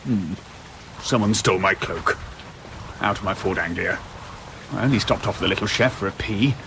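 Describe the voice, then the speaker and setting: An English actor playing a petty, chatty, deadpan Grim Reaper in a radio comedy. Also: male voice, deep voice, normal speed, creaky, noisy background.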